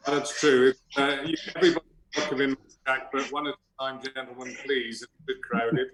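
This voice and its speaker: A man's voice: mid-range voice, little pitch movement, a little fast, crowd noise. A man with a mid-range voice joins a video call from his kitchen, polite and good-humoured as he asks his question. His voice carries a roomy echo. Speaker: radio club member on the call. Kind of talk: casual video call chat. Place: home kitchen.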